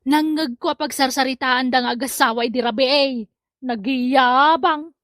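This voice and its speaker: A woman's voice: high voice, fairly even intonation, normal speed, fairly bright tone, no echo, clean studio sound. A woman playing a nosy, giggly village gossip who is easily offended, in Ilocano comedy drama, with an Ilocano accent. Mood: gleeful, gossipy.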